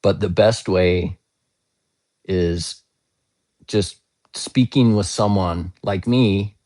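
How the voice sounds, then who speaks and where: A man speaks slowly in a low, hoarse, scratchy voice. He chats casually with viewers during a live-stream English lesson. He explains patiently and often spells words out letter by letter. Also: mellow. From United States.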